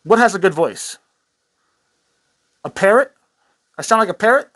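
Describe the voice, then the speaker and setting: A man's voice: sits high, animated, normal speed, fairly bright tone. Sarcastic, profane rant host man. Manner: sarcastic, rambling, self-amused, swears a lot. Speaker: host of a satirical commentary channel.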